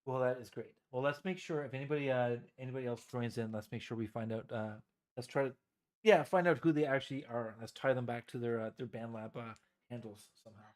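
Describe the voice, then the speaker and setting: A man's voice: mid-range voice, steady tone, fast. A man co-hosting a casual live stream, rambling with many fillers and sounding stuffy from a cold. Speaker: co-host.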